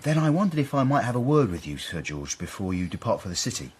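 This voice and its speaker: An actor playing a smug, courteous British manservant turned gentleman, telling his story in a genteel, formal way in a radio period drama. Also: lowish voice, big pitch swings, fast talker, slightly rough. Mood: deferential, sly. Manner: genteel, self-satisfied, formal wording. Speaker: narrator and lead character.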